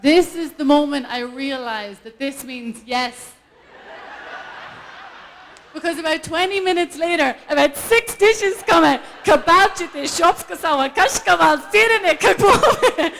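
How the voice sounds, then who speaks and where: A woman from Ireland with an Irish accent, performing an animated, self-mocking stand-up set on a live stage and laughing often. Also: very high-pitched, slow pace, slight background noise. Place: live event stage before an audience.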